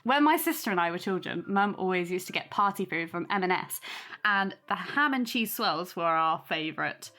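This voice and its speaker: An actress playing a modest young aunt hosting in an audio drama, polite, gentle and eager to please. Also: female voice, lowish voice, animated, normal speed. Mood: chatty.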